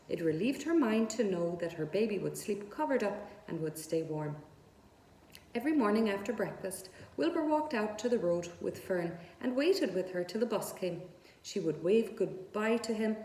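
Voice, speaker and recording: A woman's voice: mid-range voice, dark tone. A woman narrating a children's book aloud as a storyteller. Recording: echoey room.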